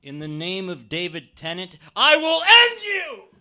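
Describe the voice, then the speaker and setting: A man's voice: sits high, huge pitch swings, a little slow, pushed and bright, exaggerated voice. A man performing a comedic dramatic reading as a grandiose, theatrical hero and announcer. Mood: vengeful. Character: grandiose, romantic sci-fi hero with hammy delivery.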